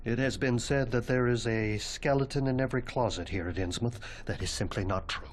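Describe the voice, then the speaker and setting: A man's voice: mid-range voice, faint music behind, lightly acted. A man acting as a formal, dryly macabre headmaster of an occult academy in a video game cutscene. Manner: formal, dry, measured wording.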